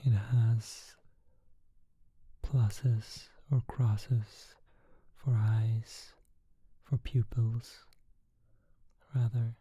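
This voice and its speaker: A storyteller whispering a fantasy tale slowly and softly, sometimes thinking aloud. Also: mid-range voice, little pitch movement, breathy edge, no room sound.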